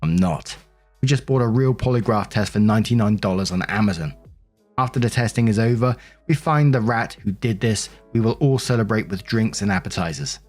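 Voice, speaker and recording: A man's voice: on the low side, fairly quick, darkish tone, close to an everyday voice. Man voicing a bossy bride, self-righteous, emphatic, social media rant, music behind. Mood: threatening. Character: indignant, bossy bride demanding obedience. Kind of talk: dramatic reading of social media posts.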